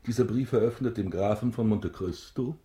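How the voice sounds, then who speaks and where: An actor playing a self-important banker baron, formal and stiffly deferential, in a German radio drama. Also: male voice, fairly low pitch, moderate pace, dark tone, roomy sound, natural voice. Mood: stiff, wary.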